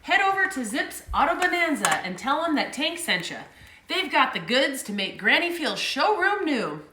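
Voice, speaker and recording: A woman's voice: high voice, very animated, normal speed, roomy sound, background noise, pitched above the performer's own voice. A woman voicing a mean, hungry male monster truck who talks in a boastful, swaggering way in a storybook read-aloud. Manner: swaggering, boastful street talk.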